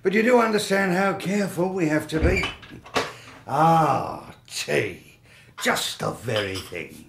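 A stolid English police inspector in a radio drama, placid and stubborn. His voice is creaky with lively intonation, recorded with noticeable room echo. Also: fairly high pitch, takes it slowly, a little hiss or hum, full character voice. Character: stolid, unhurried, by-the-book male police inspector.

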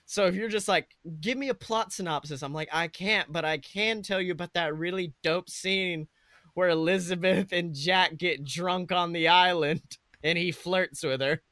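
High-pitched man, bright slangy podcast banter. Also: normal speed, no echo, studio quality. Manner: slangy, sweary, animated joking. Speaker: podcast co-host. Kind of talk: casual comedic chat.

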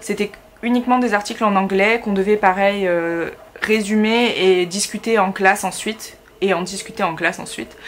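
A woman's voice: mid-range voice, fairly bright tone, a little hiss or hum. A young French woman in her twenties, chatting casually to camera from her bedroom, with lots of fillers and wry asides. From France. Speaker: vlogger, master's student. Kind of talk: casual vlog chat. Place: small bedroom indoors.

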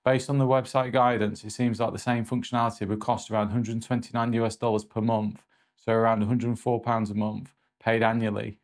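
A man in his forties presenting a scripted review in a measured, matter-of-fact way. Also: fairly low pitch, little pitch movement.

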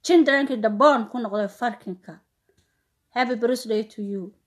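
A woman's voice: on the high side, moderate pace. A woman in her thirties from Somalia with a Somali accent, giving an informal, taunting, repetitive rant to camera. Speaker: vlogger. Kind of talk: informal mocking rant to camera, mixing Somali and broken English. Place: small plain room indoors.

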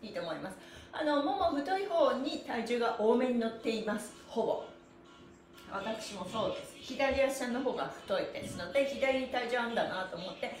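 A middle-aged woman hosting a livestream stretching class, chatty and encouraging, with an energetic, sing-song way of instructing. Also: fairly high pitch, moderate pace, faint music behind. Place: small bare-walled indoor studio room. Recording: roomy echo, mic a little away.

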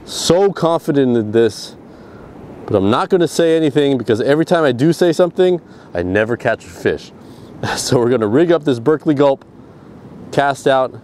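A man in his thirties vlogging excitedly while fishing from a rocky ocean shore, recorded outdoors on an action camera. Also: on the high side. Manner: excited, exclaiming. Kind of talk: outdoor vlog narration. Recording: faint surf and wind noise. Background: waves and wind.

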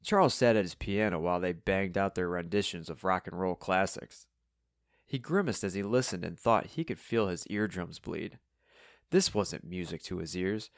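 A man's voice: sits low, normal speed, vocal fry, dry recording, clean studio sound. A man reading fiction as a scripted audiobook narrator.

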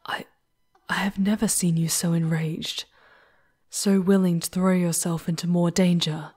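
A woman voicing an ancient, caring female demon guide in an ASMR fantasy roleplay, speaking formally and softly, with a breathy, theatrical delivery. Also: fairly low pitch, level tone, measured pace. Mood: alarmed, pleading. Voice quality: soft, breathy. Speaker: voice performer in audio roleplay.

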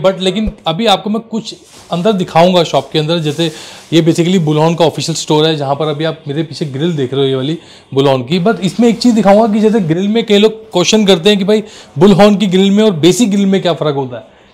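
A man in his thirties with a slightly high voice. He presents products in an informal, explanatory way, speaking directly to viewers like a shop host on a vlog. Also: a little mellow. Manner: explanatory, addresses viewers as brothers. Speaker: auto accessories shop presenter. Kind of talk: informal product showcase to camera. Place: small indoor car accessories showroom.

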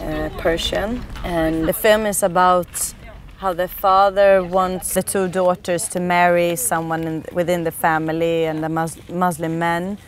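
A woman's voice: on the low side, level tone, unhurried, distant mic. A woman in her thirties, a Swedish actress whose first language is Swedish, speaking thoughtfully in an outdoor interview with many hesitations. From Sweden. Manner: reflective, earnest, with frequent hesitations. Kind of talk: documentary interview. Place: outdoors on a lawn.

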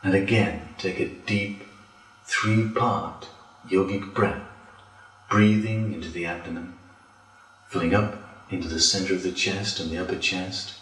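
A man leading a guided relaxation as a yoga instructor, speaking deliberately with pauses between phrases. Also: sits low, fairly even intonation, a bit bright. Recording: roomy echo, distant from mic.

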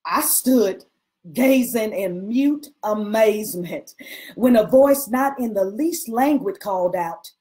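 A woman giving an expressive, theatrical reading of a period novel, pausing deliberately between phrases. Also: mid-range voice. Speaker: reader at a literary event. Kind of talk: expressive dramatic reading of a 19th-century novel. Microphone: video call.

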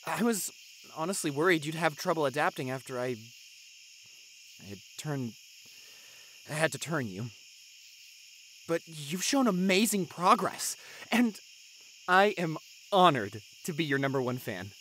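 Man playing an expressive, tender vampire boyfriend. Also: fairly high pitch, a little hiss or hum, poor audio. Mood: tender, moved. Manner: tender, encouraging, earnest, halting when emotional. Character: devoted, gentle young male vampire boyfriend. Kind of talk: audio roleplay acting, intimate one-sided dialogue.

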